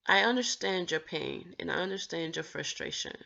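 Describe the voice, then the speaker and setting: A woman's voice: fairly low pitch, expressive, moderate pace, vocal fry, pushed and bright, muffled recording. A woman vlogger talking earnestly about her own stutter, with occasional stammered restarts as she encourages others. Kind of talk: personal talk to camera.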